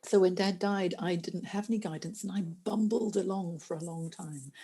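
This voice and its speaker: A middle-aged woman speaks as a careers coach on a podcast. Her voice sits low and mellow, with a slightly husky grain. She talks earnestly and often restarts her sentences. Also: moderate pace. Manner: earnest, many restarts and fillers. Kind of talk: conversational podcast interview.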